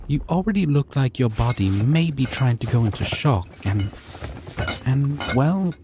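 A man playing a shy, dorky, kind dragon in an ASMR fantasy roleplay, speaking softly and hesitantly with tender, rambling care. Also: mid-range voice, animated, a little slow, slight background noise, lightly acted. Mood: gentle, attentive. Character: shy, gentle, dorky adult male dragon caring for a stranger.

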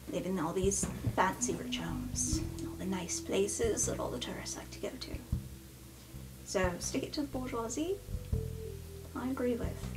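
A woman's voice: mid-range voice, lightly acted, poor audio. A woman playing a guarded, resentful city woman in improvised tabletop roleplay, hesitant and trailing into murmurs.

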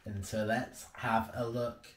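A man's voice: lowish voice, little pitch movement, slow pace, slight background noise. A man, casually describing food to camera. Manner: easygoing, descriptive. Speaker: vlog co-host. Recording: roomy echo, faint ambience.

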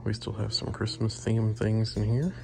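Casting note: man, low gravelly voice, relaxed chatty vlog narrator on a phone in a busy shop. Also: moderate pace. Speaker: antique booth owner touring a mall. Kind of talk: casual walk-through vlog narration. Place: large indoor antique mall. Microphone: handheld phone camera. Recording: shop noise behind voice. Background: shop ambience.

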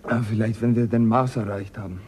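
A man's voice: lowish voice, steady tone, a little fast, darkish tone, a little hiss or hum, natural voice. An actor playing a sober, practical spaceship crewman with a dry, matter-of-fact way of talking in a radio play.

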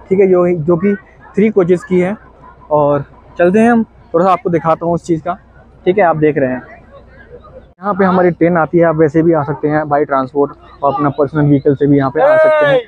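A man's voice: a little high, darkish tone, dry recording. A man casually vlogging on location, chatting with people and guiding viewers around outdoors. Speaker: travel vlogger. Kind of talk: casual on-location travel vlog. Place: outdoors in a busy hill town. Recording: muffled lo-fi outdoor recording.

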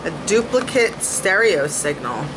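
A woman's voice: mid-range voice, sing-song, unhurried. A woman in her thirties chatting casually at home as she sorts cables and gets ready to rehearse, thinking aloud as she goes. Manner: chatty, thinking aloud while sorting gear. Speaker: beauty and music vlogger. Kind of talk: casual vlog chat. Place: small home kitchen, then a home office. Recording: audible background noise, rain and thunder outside.

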